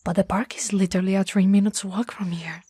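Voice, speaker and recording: A woman playing a tall, teasing, caring young childhood friend in an ASMR roleplay, with a soft, breathy, slightly husky voice and a gently coaxing manner. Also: mid-range voice, steady tone, no room sound, clean studio sound. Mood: coaxing, concerned. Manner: slow, playful, gently coaxing.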